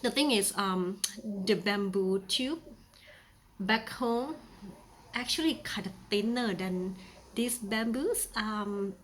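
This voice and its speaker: A woman's voice: medium pitch, takes it slowly, a little hiss or hum. Thai accent lady vlogging a how-to, playful, lots of ums, takes her time. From Thailand. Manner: chatty, playful, many fillers. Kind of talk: casual how-to demonstration.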